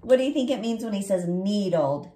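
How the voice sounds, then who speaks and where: Female children's story host, 40s, expressive swooping delivery, chatty, slightly echoey room. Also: mid-range voice, a little fast. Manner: expressive, with gasps and leading questions. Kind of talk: picture-book read-aloud with chat for young children. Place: indoor room at home with bookshelves. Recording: roomy home recording.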